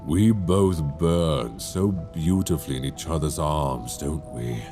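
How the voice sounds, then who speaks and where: Male actor as an ancient, towering dragon for an ASMR roleplay; low, unhurried, seductive and menacing, close binaural mic. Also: over music, exaggerated voice. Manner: slow, intimate, coaxing and menacing.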